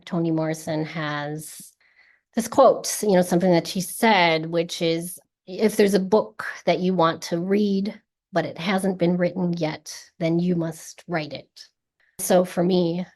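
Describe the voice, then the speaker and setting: A woman in her forties, an educator and founder, giving a thoughtful, earnest interview answer in a measured way. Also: fairly low pitch, a little mellow. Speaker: guest, ethnic studies educator and founder.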